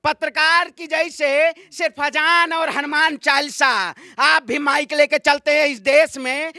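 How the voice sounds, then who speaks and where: A man's voice: extremely high pitch, level tone, measured pace, pushed and bright, dry recording. A man giving an impassioned political interview in a combative, declamatory manner, repeating phrases for emphasis. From Ayodhya, Uttar Pradesh, India. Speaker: political activist being interviewed.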